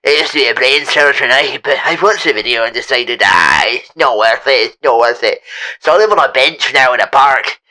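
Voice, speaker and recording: A man playing a rambling washed-up ex-doctor in a comic mock interview, halting and repeating himself. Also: medium pitch, expressive, bright, forceful tone, voice pushed up, poor audio. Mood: resigned, cheerful. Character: rambling washed-up ex-doctor living on a park bench. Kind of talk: mock documentary interview, comic improvised monologue.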